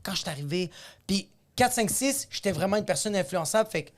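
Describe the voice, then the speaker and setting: Un jeune homme à l'accent québécois, humoriste invité, qui raconte ses anecdotes avec animation dans une discussion décontractée. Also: male voice, high-pitched, brisk. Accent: Quebec French. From Quebec. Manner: animated storyteller, slips into voices when quoting people. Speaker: guest comedian. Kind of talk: casual podcast chat.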